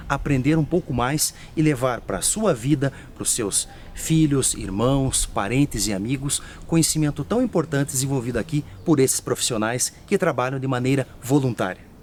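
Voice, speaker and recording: A man's voice: mid-range voice, slight background noise. A Brazilian man reporting on location, interviewing staff and speaking directly to viewers in a promotional tone. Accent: Brazilian Portuguese. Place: outdoors and in a lab at a rural nursery.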